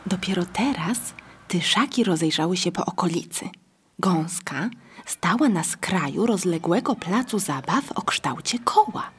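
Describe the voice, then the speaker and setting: A woman reading scripted narration for a children's story, with a husky, breathy voice. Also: on the low side, lively intonation, a little slow.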